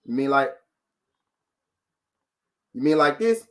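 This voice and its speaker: A man's voice: medium pitch, expressive, takes it slowly, fairly bright tone. A man hosting a live boxing talk show, arguing his point with rhetorical questions, repeating himself and greeting viewers from the chat.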